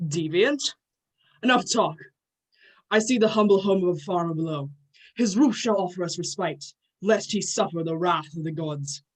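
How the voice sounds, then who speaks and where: An amateur performer playing a proud, gruffly impatient male thunder god with formal, archaic phrasing in a play reading. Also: mid-range voice, moderate pace, close to an everyday voice, low-quality recording. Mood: exasperated.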